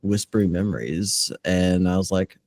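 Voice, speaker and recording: A man's voice: deep voice, normal speed, darkish tone. A man, a creative director being interviewed, who speaks hesitantly and stumbles over his words. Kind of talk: interview guest.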